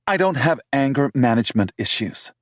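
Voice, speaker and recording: A man's voice: mid-range voice, expressive, fairly quick, no echo, clean studio sound, voice pushed up, lightly acted. Man putting on a prickly young woman. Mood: defensive. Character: young woman, prickly and defensive, quick to snap. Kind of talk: audiobook character voice.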